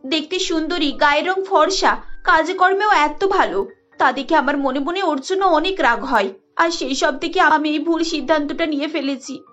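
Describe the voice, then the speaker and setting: A woman's voice: sits high, moderate pace, fairly bright tone, lo-fi sound, faint music behind. A woman voicing an earnest, pleading young daughter-in-law in a family cartoon. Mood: apologetic. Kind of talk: cartoon character voice.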